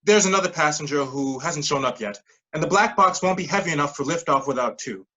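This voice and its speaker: A persuasive scheme recruiter played by an actor in a staged play reading. The voice sits at a middling pitch with a slightly husky edge, pressing insistently in clipped phrases while dodging plain words. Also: expressive, fairly quick, fairly bright tone. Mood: urgent, evasive. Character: smooth-talking, pushy pyramid-scheme recruiter mentoring a junior coworker.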